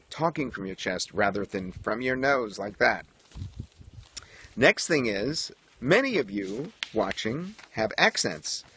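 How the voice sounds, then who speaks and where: A middle-aged man with a nasal voice and an American accent, giving relaxed, conversational broadcasting tips in a livestream tutorial. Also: fairly low pitch, slightly rough. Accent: General American, traces of New York. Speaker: broadcasting coach. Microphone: phone camera.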